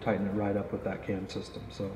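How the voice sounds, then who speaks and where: A man's voice: on the low side, monotone, quick pace, darkish tone. Man explaining gear in a roomy range. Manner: casual, many fillers, explaining gear. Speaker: company pro staff interviewer. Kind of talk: product interview. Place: indoor archery range. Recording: roomy echo, dull, faint noise.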